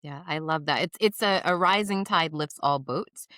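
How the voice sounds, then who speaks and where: A woman's voice: low-pitched, moderate pace, sharp tone, dry recording, studio quality. A woman hosting an interview podcast, affirming and curious as she asks her guest questions. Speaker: podcast host. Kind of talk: interview host with a read ad.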